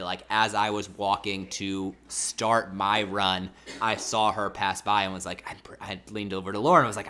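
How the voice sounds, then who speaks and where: Deep-voiced chatty man with a cold. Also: sharp tone, clear, resonant tone. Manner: rambling, self-correcting, chatty. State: mild cold, slightly sore throat. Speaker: livestream host. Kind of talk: casual livestream chat with viewers.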